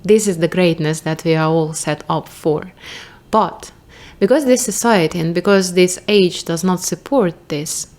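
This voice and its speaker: A woman's voice: lowish voice, measured pace, darkish tone. Rambling Ukrainian-accented young woman vlogger. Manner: rambling, thinks aloud, restarts, casual swearing. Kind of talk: casual reflective monologue.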